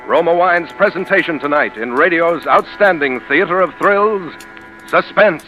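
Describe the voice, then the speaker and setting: A man's voice: on the high side, unhurried. A man giving dramatic, formal 1940s radio show introductions. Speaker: show announcer. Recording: dull 1940s radio broadcast with music.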